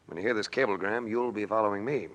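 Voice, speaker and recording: An actor playing a cool, wry adventurer investigator in old-time radio drama, dry and unflappable. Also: male voice, fairly low pitch, fast talker, a bit bright, lo-fi sound, close to an everyday voice. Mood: wry, confident.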